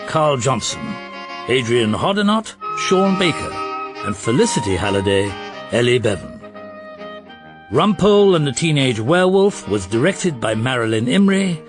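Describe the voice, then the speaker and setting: A man reading scripted radio drama credits as a broadcast announcer. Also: medium pitch.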